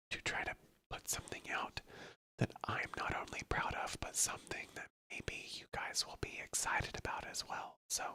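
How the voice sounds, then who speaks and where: An ASMR streamer chatting casually in a hushed, breathy whisper that slips into a soft murmur, rambling and repeating phrases. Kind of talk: ASMR, casual live chat.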